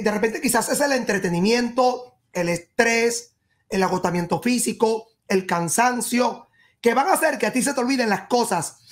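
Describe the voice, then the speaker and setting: A woman with a somewhat high voice reads tarot for the viewer as a horoscope host. She speaks in short, emphatic phrases. She often restates a point in slightly different words. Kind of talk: spoken tarot reading addressed to the viewer.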